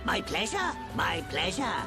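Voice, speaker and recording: A woman voicing a small, cute, excitable forest creature in a video game, talking in singsong, repetitive baby-talk. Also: mid-range voice, unhurried, over music. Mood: welcoming.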